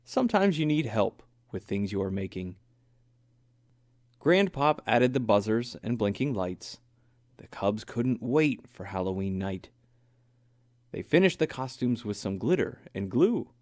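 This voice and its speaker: Slow, animated male storybook narrator. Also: on the low side, no echo, clean studio sound. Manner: expressive, deliberate phrasing. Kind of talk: rhyming children's read-aloud narration.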